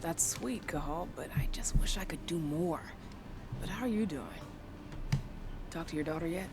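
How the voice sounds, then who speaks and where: A woman's voice: lowish voice, moderate pace, music in the background, close to an everyday voice. An actress playing a modest, caring and practical female hacker in a video game, with an understated delivery. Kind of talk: video game cutscene acting.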